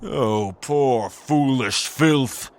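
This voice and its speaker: A man's voice: medium pitch, drawn-out words, soft background music. An actor playing a cold, manipulative angel lord and father in a fantasy audio drama, speaking in a grandiose, condescending way.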